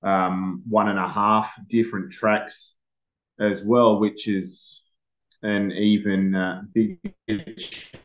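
An Australian man speaking as a motorsport editor, relaxed and hesitant with plenty of pauses. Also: on the low side, lo-fi sound. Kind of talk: casual motorsport chat.

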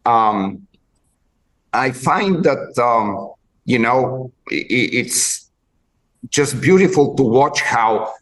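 A man with a Bulgarian accent hosting a podcast interview, talking haltingly with many restarts as he searches for words. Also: medium pitch, fairly bright tone, low-quality recording. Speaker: podcast host, dog trainer. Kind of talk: conversational interview.

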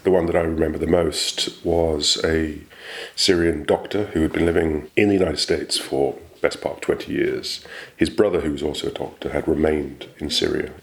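A man with an extremely deep voice speaks in an interview. He looks back on his legal work in a measured, reflective and matter-of-fact way. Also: fairly even intonation. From England. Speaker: barrister, interviewee. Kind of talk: interview recollection.

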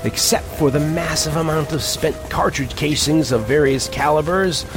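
A man playing an earnest reporter who narrates an eerie western expedition in a deliberate, descriptive way for an audio drama. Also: a little high, close to an everyday voice. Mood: earnest, foreboding. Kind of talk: first-person scripted audio drama narration. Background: music.

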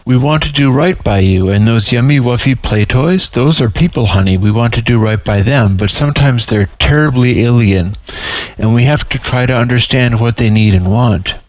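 A man's voice: medium pitch, normal speed, dry recording, close to an everyday voice. A man voicing a motherly but pushy female cat-person madam in a story, coaxing and impatient. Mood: coaxing, explaining. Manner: coaxing, calls others honey.

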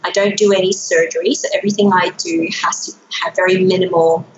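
A woman's voice: on the low side, steady tone, fast talker. A middle-aged woman, a native Burmese speaker who grew up in Hong Kong and Australia, talking conversationally as an interview guest in a slightly Australian accent. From born in Myanmar, grew up in Hong Kong and Australia. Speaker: guest, anti-aging physician. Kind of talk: conversational interview answers about own career. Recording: roomy echo, dull sound, faint noise.

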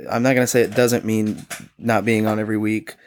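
A young man with a lowish voice talks on a casual podcast. He speaks softly and haltingly, often trailing off and restarting his thoughts. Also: level tone. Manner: hesitant, halting, soft-spoken. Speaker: returning podcast guest.

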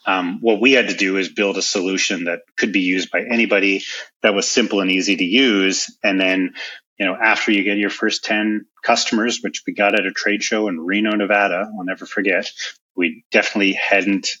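A Canadian man speaks as an interview guest, in a low voice with a husky edge and a bright, pressing tone. He explains his business informally, like someone telling stories in conversation. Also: fairly even intonation, a little fast, dull audio. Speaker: interview guest, software company founder and chief executive.

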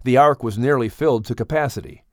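A man narrating an audiobook story in a solemn, gentle storytelling manner. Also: mid-range voice, fast talker, no echo, studio quality.

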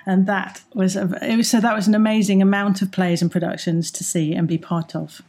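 A woman's voice: medium pitch, little pitch movement, brisk, a little mellow. A middle-aged woman, a drama teacher answering interview questions in an enthusiastic, chatty way with frequent ums. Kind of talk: interview guest, recounting her background.

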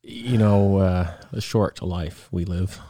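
A sniffly man with a lowish voice hosts a casual podcast chat. He rambles, laughs a lot and trails off mid-thought. The recording is dry and close. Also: steady tone, takes it slowly, dark tone, dry recording. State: sniffly from a cold. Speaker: podcast host.

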